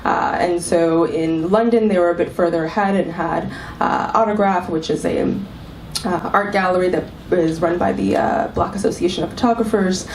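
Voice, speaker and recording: Panelist, woman with a cold, slightly low voice, thoughtful with many ums, distant mic in an echoey room. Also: moderate pace. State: has a cold. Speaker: panelist, arts executive director. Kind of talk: panel talk to a live audience. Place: club event room. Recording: echoey room, mic from far off, faint hiss.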